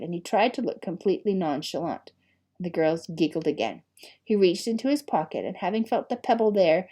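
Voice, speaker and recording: A woman reading an audiobook in an expressive storybook style, with deliberate, dramatic phrasing and emphasis. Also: medium pitch. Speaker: audiobook reader.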